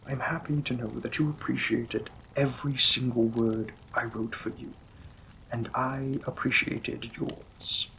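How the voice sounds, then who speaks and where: Demon king lover played by a man, male, creaky, unhurried, intimate, formal, teasing, devoted, fantasy audio roleplay, faint background noise. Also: medium pitch, steady tone, poor audio. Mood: fond, amused. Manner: slow, intimate, formal wording, gently amused.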